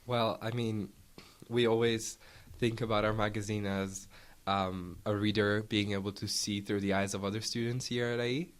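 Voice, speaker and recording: A young man answers as a student guest in an interview. His voice is on the low side, and he talks in a casual, conversational way. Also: level tone, normal speed, hardly any pauses. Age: young adult.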